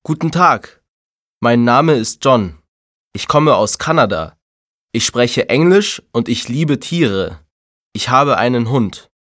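A slow-talking young animal lover. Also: male voice, medium pitch, animated, a bit bright, no echo, clean studio sound. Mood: friendly. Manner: slow, clear self-introduction. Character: young man fond of animals. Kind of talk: scripted textbook audio.

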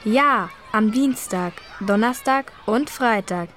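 Textbook audio: a fed-up schoolgirl, slow and clear. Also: on the high side, fairly bright tone, slight background noise. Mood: grumbling. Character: teen girl fed up with her timetable. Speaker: student in a listening dialogue. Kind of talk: scripted textbook dialogue.

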